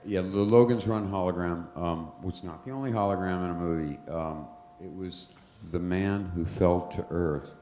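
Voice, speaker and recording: A man with a very deep voice politely offers a correction from the floor, hesitant at first. His voice rings out in a roomy, echoey hall. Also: normal speed, few pauses, mellow, slight background noise. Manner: hesitant, polite, fumbles with the microphone. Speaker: audience member. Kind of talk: question-time correction.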